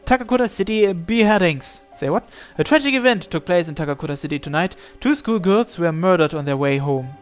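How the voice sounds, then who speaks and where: Man, fairly high voice, reading visual novel narration, occasional stumbles, music in the background. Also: expressive, brisk, hardly any pauses, clear, resonant tone. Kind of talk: reading game story text aloud.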